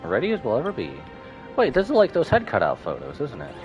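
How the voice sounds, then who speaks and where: A man's voice: mid-range voice, wildly swooping pitch, fast, a little mellow, highs cut off. A man live-streaming a game with casual commentary, musing aloud in short reactions over game music. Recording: music behind the voice.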